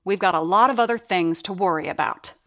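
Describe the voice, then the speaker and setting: A woman's voice: lowish voice, fairly quick, a bit bright, dry recording, clean studio sound. A woman playing a wry, seasoned, no-nonsense radio sound-effects worker in an audio drama, talking in conversational asides. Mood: stern. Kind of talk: 1930s-set audio drama narration and dialogue.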